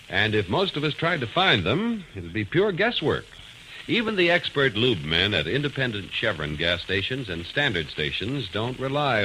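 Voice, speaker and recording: A man's voice: mid-range voice, sharp tone, a little hiss or hum, low-quality recording. A man with an American accent reading scripted 1940s radio announcements in a polished, emphatic salesman's delivery. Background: music.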